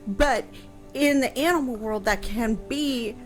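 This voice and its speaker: A woman explaining things conversationally on a radio show, thoughtful and pausing mid-phrase as she goes. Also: a little high, soft background music. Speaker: radio guest answering history questions.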